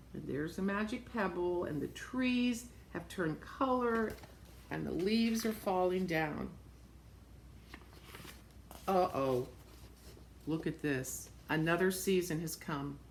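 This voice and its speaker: A woman reading a picture book aloud to young children, expressively, pausing to point things out in simple words and repeating phrases. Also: on the low side, a little hiss or hum. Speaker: storybook reader for young children.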